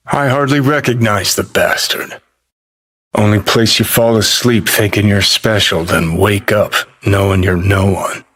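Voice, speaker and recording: An American man playing a cynical, foul-mouthed, world-weary rebel rock star in a video game, with a gravelly, husky voice and a clipped, sardonic, swaggering drawl. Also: fairly low pitch, a bit bright, full character voice. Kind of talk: video game character dialogue.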